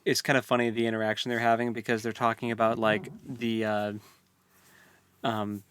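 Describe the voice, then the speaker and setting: A man's voice: medium pitch, little pitch movement, moderate pace, a bit bright, smooth, no room sound. A man co-hosting a podcast, chatting about a book in an informal, slangy, loosely phrased way. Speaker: podcast co-host. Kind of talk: casual book discussion chat.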